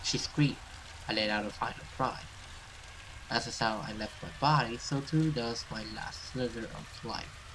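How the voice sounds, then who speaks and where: A man's voice: mid-range voice, unhurried, background noise. A man hosting a let's play, reading a horror visual novel aloud and stumbling over some words as he goes.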